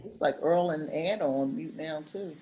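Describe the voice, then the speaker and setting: A woman's voice: sits low, moderate pace, warm tone, reverberant, dull audio, a little hiss or hum. A woman moderating an online panel informally, emphatic and repeating key phrases on a video call.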